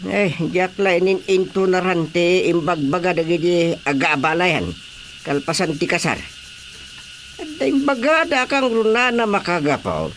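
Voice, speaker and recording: A woman's voice: on the low side, expressive, measured pace, slight background noise. A woman with an Ilocano accent acting an opinionated, chiding village woman in a radio drama comedy. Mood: explaining.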